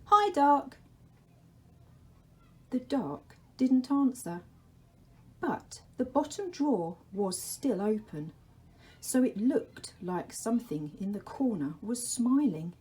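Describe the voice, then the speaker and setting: Female primary teacher for story time. Mellow, relaxed tone, very measured and gentle read-aloud. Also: medium pitch.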